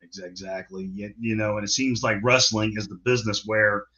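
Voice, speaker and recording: A man's voice: on the low side, flat delivery, fairly quick, pushed and bright, highs cut off. A man hosting a wrestling interview show, speaking casually, often repeating and stumbling over his words. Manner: repetitive, stumbling, laughs often. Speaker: show host.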